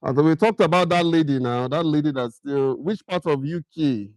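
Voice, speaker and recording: Middle-aged Nigerian man hosting a chatty live Q&A, fairly high voice, dry close sound. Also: moderate pace, no echo. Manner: chatty, fires questions at viewers, slips into pidgin. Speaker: livestream host. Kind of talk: casual livestream chat with viewers.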